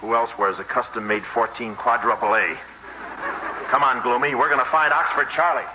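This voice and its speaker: An actor playing a brash, easily flustered small-time hustler with slangy wisecracks, in 1950s radio comedy style. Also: male voice, mid-range voice, steady tone, normal speed, natural voice. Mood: urgent. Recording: noisy muffled old radio broadcast.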